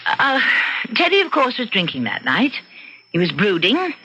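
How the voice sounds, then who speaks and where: An actress playing a cool, evasive housewife with murderous schemes in an old-time radio mystery, speaking in a poised, defensive, dramatic way. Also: female voice, medium pitch, huge pitch swings, moderate pace, background noise. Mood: cool, defiant. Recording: dull radio-drama recording with music behind.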